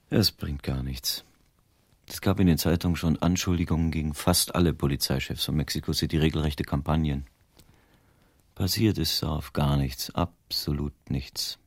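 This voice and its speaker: Deep-voiced, world-weary private detective. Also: male voice, unhurried, long pauses, no room sound, heavily acted. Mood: wry, dismissive. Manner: emphatic, explaining, colloquial. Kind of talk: German radio drama acting.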